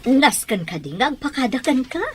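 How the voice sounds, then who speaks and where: A woman acting a protective, sharp-tongued, doubting mother in an Ilocano audio drama, with a husky voice. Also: sits high, big pitch swings, normal speed, a little hiss or hum. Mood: skeptical.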